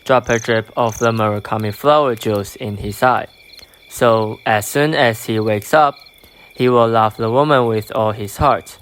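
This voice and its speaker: Fairy king for radio play, female performer: very deep, authoritative, faint night-insect noise behind. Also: measured pace. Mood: commanding. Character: Oberon, commanding male fairy king giving orders. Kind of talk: amateur student radio drama acting.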